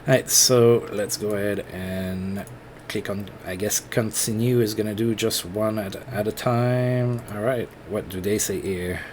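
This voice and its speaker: A man with a French accent, thinking aloud in halting, casual commentary while playing a management game on his computer. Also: lowish voice, steady tone, darkish tone, slight background noise. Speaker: let's play host.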